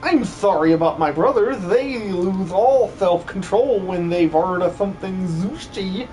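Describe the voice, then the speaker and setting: A man voicing an excitable, grateful little treasure-loving creature in a theatrical video game fan dub. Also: sits high, measured pace, faint music behind, rough recording. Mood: apologetic, boastful. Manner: gushing, theatrical.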